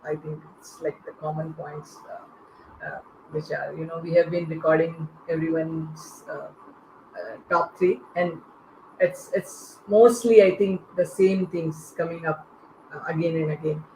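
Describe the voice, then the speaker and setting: A woman moderating an unscripted panel with hesitant, halting phrasing. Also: deep voice, steady tone, dark tone. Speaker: panel host. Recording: echoey, faintly noisy online call.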